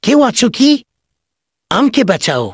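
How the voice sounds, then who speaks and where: A man's voice: high-pitched, very animated, measured pace, a little hiss or hum, exaggerated voice. A man playing a sly, cheeky village goat thief in a comedy cartoon, with a theatrical manner. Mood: pleading. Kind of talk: cartoon voice acting.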